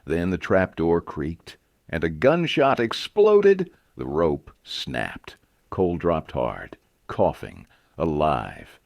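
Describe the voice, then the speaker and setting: Guy telling a western, gravelly n dry close sound, dramatic short phrases, suspenseful. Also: sits low, sing-song, slow, a little mellow, dry recording. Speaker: storyteller. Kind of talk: dramatic scripted Western story narration.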